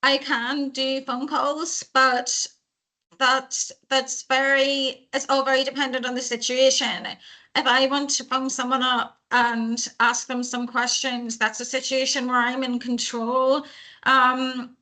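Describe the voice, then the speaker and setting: A young woman giving a talk, thoughtful, with many pauses and ums. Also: high voice, level tone, bright, forceful tone, rough recording. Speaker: speaker on hiring deaf employees. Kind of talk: talk at a panel event.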